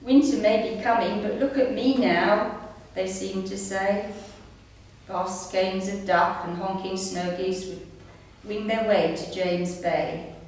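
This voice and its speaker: An older woman in her sixties reads her own story to listeners, in a measured storytelling way. Her voice sits at a middling pitch. She is recorded at a distance in a strongly reverberant room, with a little noise underneath. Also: fairly even intonation, darkish tone. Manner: measured storytelling, reading from pages. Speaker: amateur writer reading her own story. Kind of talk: reading a written memoir aloud to an audience. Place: indoor room at a community centre, seated. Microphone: distant camera microphone. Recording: echoey room, distant mic, faint noise.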